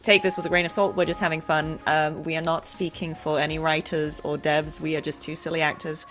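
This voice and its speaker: A woman's voice: sits low, flat delivery, a little fast, over music. A woman hosting a casual interview between voice actors, chatty and playful in the way she talks.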